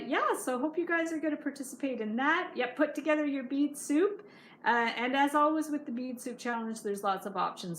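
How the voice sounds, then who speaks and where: A woman's voice: on the high side, slight background noise. A woman hosting a casual craft livestream, chatting as she reads viewer comments, her voice starting to go. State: losing her voice.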